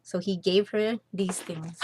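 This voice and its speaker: A woman reading a children's story aloud as storyteller, expressive and dramatic with deliberate phrasing. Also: lowish voice, husky, no echo.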